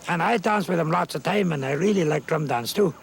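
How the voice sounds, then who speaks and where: A man who emcees and calls square dances at a festival, speaking hoarsely after losing his voice, in an easygoing interview full of "you know". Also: fairly high pitch, brisk, a little hiss or hum.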